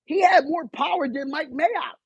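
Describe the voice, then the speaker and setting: A man hosting a live stream, loud and animated, swearing and arguing back at his chat. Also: very high-pitched, normal speed, gravelly, no echo. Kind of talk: casual live stream rant.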